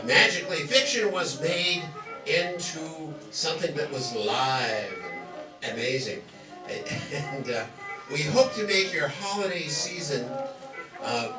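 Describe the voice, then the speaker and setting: A man announcing a live show as master of ceremonies, jovial and joking. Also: fairly high pitch. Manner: joking, chuckling. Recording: roomy distant stage recording, music behind.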